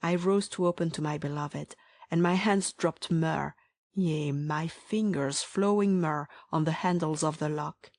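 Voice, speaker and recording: A woman playing a loving, yearning bride in a hushed, solemn dramatized reading of scripture poetry. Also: low-pitched, measured pace, no echo. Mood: hushed longing.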